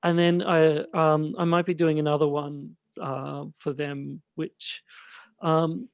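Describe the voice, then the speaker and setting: Casting: male podcast host with a light, high-pitched voice, slow and halting chat, recorded dry. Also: flat delivery, gravelly, dry recording. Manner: hesitant, halting delivery. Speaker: host and radio play director.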